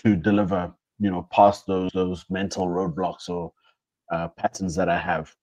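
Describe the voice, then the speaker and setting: A man's voice: low voice, flat delivery, moderate pace, a little mellow. A young man co-hosting a podcast, talking things over in a casual, thoughtful way, often restarting his phrases and dropping slang. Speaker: podcast co-host. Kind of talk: casual reflective chat. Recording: dull lo-fi sound.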